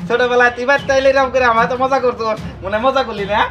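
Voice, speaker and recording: An actor playing a warm-hearted, eager village friend in a drama, with a rural Bangladeshi accent. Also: male voice, extremely high pitch, fairly even intonation, rapid-fire, highs cut off. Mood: joyful, eager. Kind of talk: Bangla short-film drama acting.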